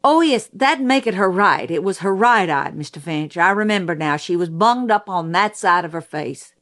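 A woman's voice: fairly low pitch, animated, normal speed, a bit bright. A woman voicing a folksy, stubborn male country sheriff in an audiobook, with clipped, colloquial phrasing. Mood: conceding, folksy. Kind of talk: audiobook character voice.

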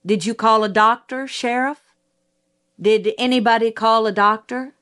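Audiobook role: male attorney performed by a woman, measured and slow, courteous yet pressing with pointed questions. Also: fairly high pitch, fairly even intonation, fairly bright tone, voice pushed up. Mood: pressing, edged. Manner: probing, pointed, formal courtesy. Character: courteous, persistent male lawyer, a father, questioning witness. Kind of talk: audiobook character voice.

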